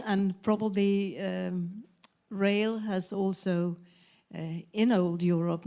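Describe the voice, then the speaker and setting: Lady on a conference panel, lowish mellow voice, slow thoughtful talk with lots of uh's. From Denmark. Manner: measured, thoughtful, pauses with fillers. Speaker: conference panelist, former parliament member.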